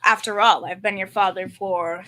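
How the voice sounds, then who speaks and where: A woman's voice: medium pitch, fairly even intonation, moderate pace, bright, forceful tone, lightly acted, low-quality recording. A young female performer playing a bumbling, confidently clueless working-class dad in a school old-time radio comedy, with folksy bad grammar. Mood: blustering, confident. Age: young teen.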